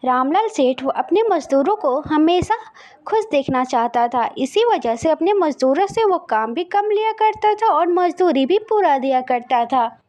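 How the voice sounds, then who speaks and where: A woman's voice: high voice, expressive, a little slow, darkish tone. A woman narrating a scripted moral story as a storyteller.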